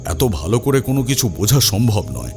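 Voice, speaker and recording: A man's voice: fairly low pitch, big pitch swings, brisk, a little mellow, faint music behind. A man narrating a scripted first-person horror story in a dramatic, suspenseful manner.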